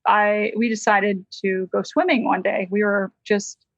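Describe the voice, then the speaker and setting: An American woman, a licensed counselor, telling a personal story as a podcast guest in a lively, conversational way. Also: mid-range voice, unhurried, no echo, lo-fi sound. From United States.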